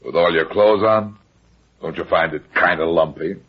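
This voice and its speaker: An actor playing a wisecracking, unflappable heavyset private detective in a vintage radio drama, wry and sardonic. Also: male voice, sits low, moderate pace, breathy edge. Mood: wry, calm. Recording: muffled vintage radio recording.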